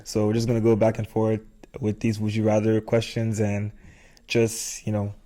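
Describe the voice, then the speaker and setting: A man's voice: lowish voice, completely flat delivery, normal speed, warm tone. A man hosting a podcast in casual chat, informally explaining his reasoning at length. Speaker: podcast host.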